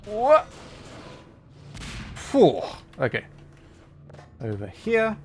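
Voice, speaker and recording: A man chatting casually on a livestream while commenting on his gameplay, often thinking aloud haltingly. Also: high-pitched, wildly swooping pitch. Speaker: livestreamer playing a game. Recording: faint background noise, game ambience.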